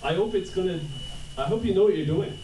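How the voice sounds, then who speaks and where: A man's voice: high voice, fast talker, dark tone, close to an everyday voice. A man playing a nervy, slangy small-time henchman in a live radio crime drama. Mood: nervy, doubtful. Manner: slangy, questioning, snappish. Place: convention panel room. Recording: echoey noisy convention room from far off.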